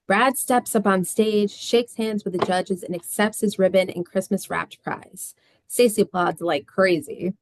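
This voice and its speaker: A woman's voice: mid-range voice, steady tone, a little slow, a little hiss or hum, poor audio. A woman reading scripted stage directions as a narrator.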